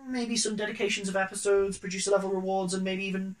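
A British man in his thirties giving a casual, jokey crowdfunding pitch as a podcast producer. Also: little pitch movement, fast, low-quality recording. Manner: jokey, informal, bantering. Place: small living room, on a sofa.

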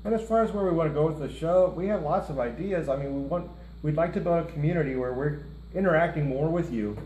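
A man's voice: fairly high pitch, quick pace, a little mellow. A middle-aged man co-hosting a music reaction show, chatting casually in a rambling way with joking asides. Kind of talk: casual chat. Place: indoor room, seated at a table before a lit curtain. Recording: roomy room with faint hiss.

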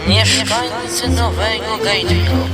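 A dutiful, formal public announcer, voiced by a man for a radio play. He speaks high, slow and even, with loud music over the voice. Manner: measured, repetitive, formal. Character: formal male town announcer praising his despotic boss.

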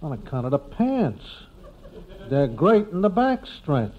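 A man's voice: on the high side, sing-song, unhurried. A man playing an insistent, confidential racetrack tout with clipped American slang in a 1950s radio comedy. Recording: tinny, very noisy 1950s radio recording.

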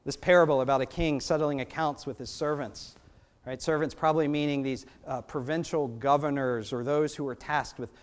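A man in his thirties with an American accent, preaching a sermon from a church pulpit microphone, conversational and full of rhetorical questions. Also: a little high. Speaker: pastor. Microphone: gooseneck pulpit microphone.

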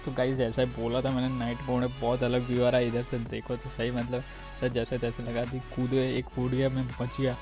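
An Indian man commentates on his own gameplay in an informal, chatty style. His delivery stays very even, with hardly any rise or fall, at an average male pitch. Light music plays behind him as he addresses his viewers. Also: brisk. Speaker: gaming video creator. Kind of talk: casual video game commentary.

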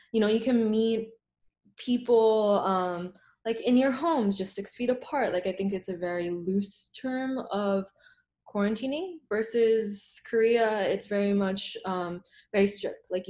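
Young american woman artist, loose chatty talk, laptop mic at home, mid pitch. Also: darkish tone. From United States. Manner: loose and chatty, many ums and likes. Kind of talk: casual panel discussion. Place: home room, video call. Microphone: laptop mic on a video call.

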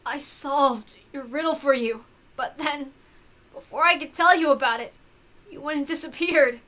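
A woman voicing a brash, excitable young man in a loud, casual, emphatic way for a visual novel. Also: high-pitched, moderate pace. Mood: earnest.

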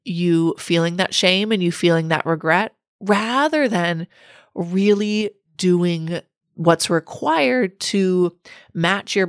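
Raspy-voiced woman podcast host. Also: on the low side, no echo, studio quality. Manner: earnest, reflective coaching tone. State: raspy from a cold. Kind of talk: solo podcast talk.

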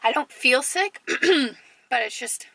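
A young woman chats to her camera in a casual, enthusiastic way, with plenty of fillers. Her voice is bright and a little high, with a slight hoarseness from a cough. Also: expressive, slow pace. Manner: chatty, filler-heavy, enthusiastic. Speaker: lifestyle vlogger. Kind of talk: casual vlog chat to camera. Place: parked car, then in a large store and a parking lot. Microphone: camera on dashboard or handheld at arm's length.

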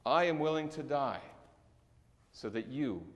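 A middle-aged male pastor preaching a sermon in a large church sanctuary, with measured delivery, slow emphatic stresses and falling endings. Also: medium pitch, lively intonation. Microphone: distant camera recording in the sanctuary. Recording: long church reverb.

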